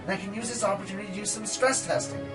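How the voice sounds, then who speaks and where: A man playing an excitable, scheming inventor pony in an audio drama, geeky, hesitant and boastful. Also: sits high, very fast, over music, close to an everyday voice. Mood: enthusiastic.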